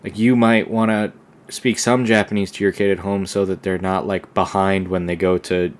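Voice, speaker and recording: A young man co-hosting a podcast, talking casually in a relaxed, rambling way with lots of fillers and restarts. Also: low voice, moderate pace. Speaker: podcast co-host. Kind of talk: casual conversation.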